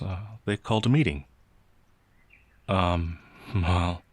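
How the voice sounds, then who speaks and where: Timid werewolf outlaw, male performer: low register, unhurried, flustered and hesitant, soft-natured, fantasy audio roleplay. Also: dry recording. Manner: hesitant, stammering, apologetic, flustered. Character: gentle, timid, anxious male werewolf outlaw, easily frightened.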